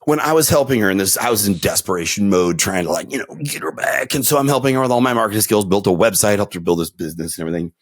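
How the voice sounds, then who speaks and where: A man talking on a podcast, his pitch rising and falling a lot as he spins a long story. He tells it casually, with plenty of fillers and restarts. The sound is dry and close, with no room echo. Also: very fast, few pauses. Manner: storytelling, animated, many fillers.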